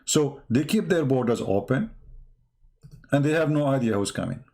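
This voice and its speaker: A man's voice: medium pitch, lively intonation, normal speed, vocal fry, darkish tone. Emphatic man, non-native English speaker. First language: not English. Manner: emphatic, repetitive, rhetorical questions. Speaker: commentator. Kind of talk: impassioned off-the-cuff news commentary.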